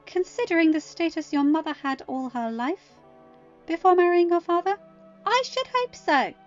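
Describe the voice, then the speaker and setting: A woman's voice: extremely high pitch, expressive, moderate pace, voice pushed up. A woman voicing a fierce, protective mother in an audio story, speaking firmly and with indignant emphasis. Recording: dull lo-fi audio. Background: faint music.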